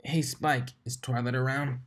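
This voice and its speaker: A man's voice: medium pitch, fairly even intonation, moderate pace, voice pushed up, natural voice. A man voicing a brash young female pegasus with an offhand, defensive manner in a cartoon fan story.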